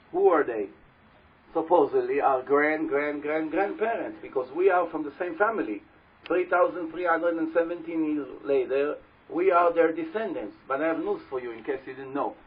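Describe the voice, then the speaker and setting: A man with a loud voice giving a religious talk to a live audience, telling a story and putting questions to the listeners. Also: a little high, moderate pace, husky. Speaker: religious teacher speaking to an audience. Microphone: handheld or stand microphone. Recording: dull lo-fi live recording.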